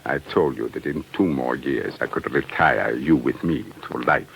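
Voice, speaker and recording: Gravelly, very deep, menacing prison commandant. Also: male voice, fairly bright tone, full character voice. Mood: smug, calculating. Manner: deliberate, bullying, sardonic. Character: scheming corrupt Russian prison commandant, menacing, imperious. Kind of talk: old-time radio drama acting. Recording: noisy old 1940s radio recording.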